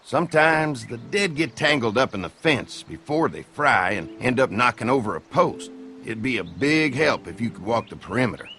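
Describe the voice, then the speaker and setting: Lively folksy Southern farm boy. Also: mid-range voice, moderate pace. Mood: helpful. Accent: American Southern. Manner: drawling, folksy. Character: folksy young Southern farmer man, friendly host of dairy farm. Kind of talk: video game voice acting.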